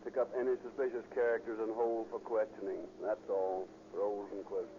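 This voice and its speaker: A man playing a brisk, impassive police dispatcher in a 1930s radio drama, reading bulletins in a clipped, official way. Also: lowish voice, level tone, natural voice. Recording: muffled, noisy 1930s radio recording.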